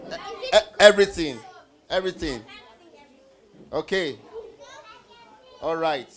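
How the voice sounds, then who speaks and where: A man hosting an interactive children's Bible teaching session on stage, encouraging and instructive, often prompting with questions and repeating answers. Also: on the high side. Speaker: host leading children's Bible trivia. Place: event stage before a group of children.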